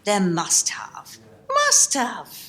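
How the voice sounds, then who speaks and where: Woman acting a feisty, very animated woman. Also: medium pitch, a little hiss or hum, exaggerated voice. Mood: astonished. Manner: brisk, incredulous, scolding. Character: feisty, sceptical, kind-hearted working woman on day off. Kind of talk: amateur radio play acting.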